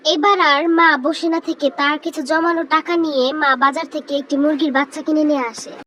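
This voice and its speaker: A woman's voice: sits high, fairly even intonation, quick pace, pushed and bright. A woman reading scripted narration for a children's cartoon story.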